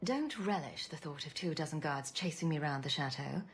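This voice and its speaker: A woman's voice: low-pitched, normal speed, a little hiss or hum. A woman voicing a playful, sarcastic young rogue adventurer in a video game, with a wry, teasing manner.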